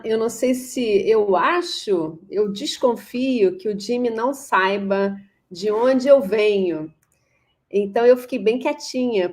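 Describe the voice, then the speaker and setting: Brazilian woman, panel moderator, mid-range voice, informal but academic, reflective, feels her way to the right word. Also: mid-range voice. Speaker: moderator of an online course panel.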